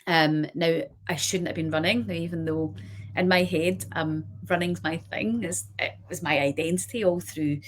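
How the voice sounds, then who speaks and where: A woman in her forties speaks straight to camera in a personal video diary. Her voice sits low for a woman. She talks in a chatty, loose way, full of fillers and restarts. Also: fairly even intonation, normal speed, a little hiss or hum. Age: 40s. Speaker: podiatrist and clinic director.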